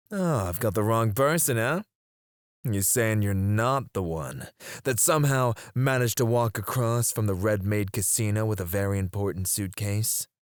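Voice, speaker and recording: A man playing a menacing, teasing kidnapper in an ASMR roleplay, drawling his taunts and dropping into hushed murmurs close to the microphone. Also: sits low, dry recording. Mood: cool, threatening. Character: menacing, teasing male kidnapper interrogating a captive. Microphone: close studio microphone.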